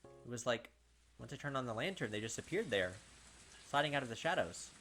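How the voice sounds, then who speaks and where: Guy with a bright forceful voice doing dramatic story narration for a game video, mid pitch. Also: big pitch swings, brisk, faint music behind. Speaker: gaming video host. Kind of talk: dramatic read-aloud narration of a visual novel.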